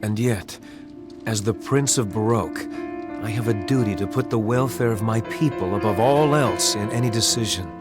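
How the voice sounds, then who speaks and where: A man voicing an earnest young prince, speaking in a lowish, soft-spoken voice. He is measured and formal, with game music clearly audible behind him. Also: natural voice. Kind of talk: game cutscene voice acting. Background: game soundtrack music.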